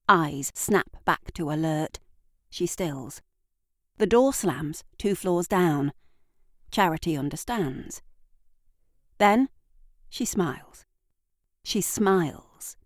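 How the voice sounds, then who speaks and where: A woman reading scripted literary narration for an audio drama in a deliberate, dramatic storytelling manner. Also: lowish voice, a little mellow, dry recording, studio quality.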